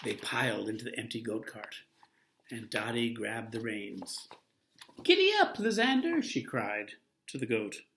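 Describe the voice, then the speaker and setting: A man's voice: lowish voice, a little slow, vocal fry, slight background noise. Man reading a picture book aloud. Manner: lively, expressive read-aloud delivery. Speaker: storyteller.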